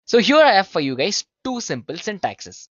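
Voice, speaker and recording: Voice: medium pitch, very animated, moderate pace, dry recording, highs cut off. A programming instructor explaining code step by step in a conversational tutorial style, addressing learners casually and checking in with tag questions.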